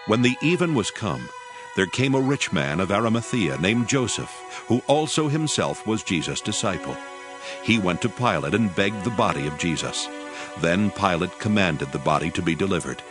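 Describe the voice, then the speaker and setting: A man narrating a dramatized Bible reading in a solemn, measured storytelling manner. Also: low-pitched, very animated, over music.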